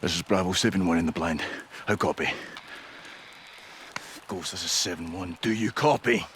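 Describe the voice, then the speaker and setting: An actor playing a tense, weary soldier alone in a military game, making terse calls. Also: male voice, deep voice, normal speed, fairly bright tone, slight background noise. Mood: strained, urgent. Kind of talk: cinematic game acting.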